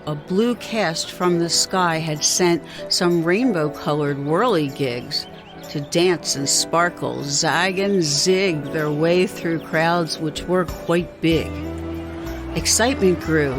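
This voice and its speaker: Music plays behind a woman reciting rhyming poetry. Her voice sits on the low side, and she takes the lines at an unhurried, rhythmic pace. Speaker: writer reading own work.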